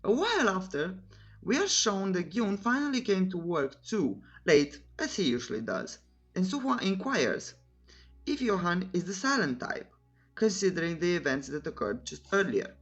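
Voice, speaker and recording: Story recap narrator, very lively intonation, informal wry delivery, playful asides, music playing underneath. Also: medium pitch, moderate pace, highs cut off. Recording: clear music bed under voice. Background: background music.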